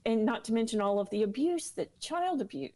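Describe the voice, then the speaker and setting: A woman's voice: on the high side, expressive, fairly quick, mellow. A woman speaking earnestly and seriously as an interview guest, giving personal testimony.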